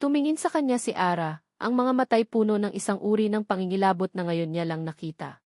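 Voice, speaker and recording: A woman narrates a scripted love drama with solemn, melodramatic delivery. Her pitch is average for a woman, and the recording is very dry, free of room sound. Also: brisk, a little mellow, no echo.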